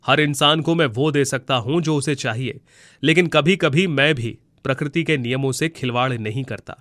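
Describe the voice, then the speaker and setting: Man voicing a bright-toned, kindly magic tree. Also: medium pitch, normal speed, dry recording, studio quality, natural voice. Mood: consoling. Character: kindly, consoling magical talking mango tree. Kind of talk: Hindi moral storybook voice acting.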